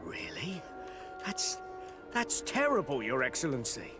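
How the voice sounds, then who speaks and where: A man's voice: fairly high pitch, wildly swooping pitch, measured pace. A man playing a courteous, fearful shopkeeper in a video game, hesitant and guarded as he talks. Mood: nervous, guarded. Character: fearful, courteous male shopkeeper under threat. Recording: music behind the voice.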